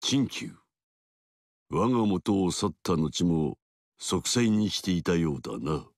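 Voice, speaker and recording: An actor voicing a proud, commanding warlord in a video game cutscene, speaking in a measured, authoritative, formal way. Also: male voice, sits low, lively intonation, darkish tone, no room sound, clean studio sound, heavily acted. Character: commanding male warlord, middle-aged, proud. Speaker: game character.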